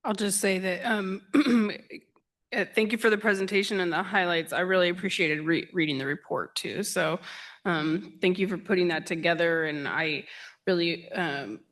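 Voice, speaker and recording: Measured woman commissioner speaking. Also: fairly low pitch, fairly bright tone. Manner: measured and appreciative, with pauses. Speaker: county commissioner. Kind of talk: formal meeting remarks. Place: county commission meeting room.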